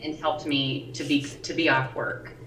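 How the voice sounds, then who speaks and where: Woman, forties, very deep scratchy voice, pauses and ums, roomy distant mic, faint background hum. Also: fairly even intonation, a bit bright. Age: 40s. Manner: pauses and searches for words, frequent ums. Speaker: podcast co-host. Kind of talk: casual chat.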